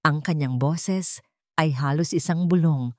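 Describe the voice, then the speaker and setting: Female storyteller for dramatic fiction, slightly low voice, close dry recording. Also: unhurried, darkish tone, dry recording. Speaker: storyteller, narrator. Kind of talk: dramatic scripted story narration.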